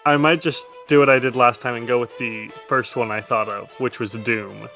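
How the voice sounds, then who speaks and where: Bright-voiced man running a tabletop game. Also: mid-range voice, steady tone, moderate pace. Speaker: game master. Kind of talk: improvised tabletop game narration. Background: soft music.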